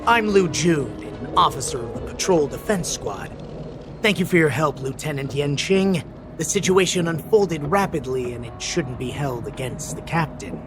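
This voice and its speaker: A man's voice: fairly high pitch, animated, moderate pace, natural voice. A man voicing a conciliatory patrol officer in a video game, giving explanations in a polite, orderly way. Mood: polite, explaining. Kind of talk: English video game voice acting. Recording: music behind the voice. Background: game music.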